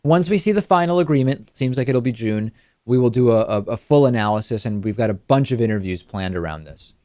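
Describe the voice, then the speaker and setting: A man with a medium-pitched voice delivers political commentary as a talk show host. He explains in a measured way, qualifying as he goes. The sound is dry and close on a studio microphone. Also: expressive, darkish tone, no room sound. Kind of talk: news commentary and analysis.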